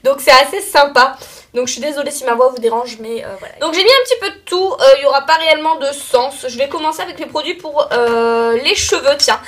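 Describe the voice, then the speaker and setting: A woman's voice: sits high, quick pace, a bit bright. Chatty young woman with a stuffy voice. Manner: chatty, many fillers and self-corrections. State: slightly stuffy from a cold. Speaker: beauty vlogger. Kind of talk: casual monthly favorites chat.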